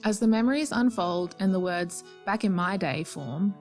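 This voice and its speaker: Woman narrator, mid pitch, nostalgic storytelling for radio play, bit of guitar behind. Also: moderate pace. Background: guitar music.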